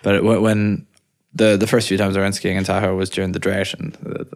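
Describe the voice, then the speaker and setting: A man with an Irish accent, a startup founder joking and telling stories in a relaxed, casual chat. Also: deep voice. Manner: relaxed, joking, drawn-out storytelling.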